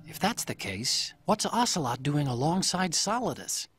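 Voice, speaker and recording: An actor playing an earnest, troubled scientist ally, hesitant yet explanatory, in a video game cutscene. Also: male voice, a little high, very animated, moderate pace, fairly bright tone, slightly rough, dry recording, heavily acted. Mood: puzzled.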